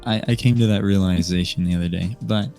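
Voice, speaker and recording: A nineteen-year-old American man speaks in a deep voice as a podcast guest. He tells stories casually and hesitantly, with faint music underneath. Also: steady tone, fast, vocal fry. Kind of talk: casual storytelling chat. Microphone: remote voice call microphone. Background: soft music.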